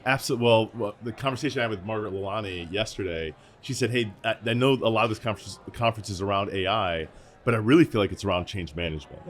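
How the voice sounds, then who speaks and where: A man with a somewhat low voice acts as interviewer. He talks in a casual, conversational way as he hosts the discussion. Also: fast talker, fairly bright tone. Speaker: interviewer, host.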